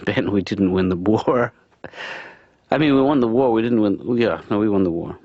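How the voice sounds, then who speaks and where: A man voicing an earnest, defensive middle-aged father in a cartoon, speaking haltingly in a conversational, improvised style. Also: on the low side, highs cut off, close to an everyday voice. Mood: flustered. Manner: halting, stammering, mild-mannered.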